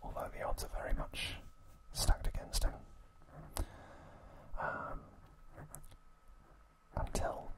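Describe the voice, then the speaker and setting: A man hosting an ASMR recap, speaking in a soft, breathy, unvoiced whisper with a gentle delivery. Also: slow, long pauses, low-quality recording. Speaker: ASMR host.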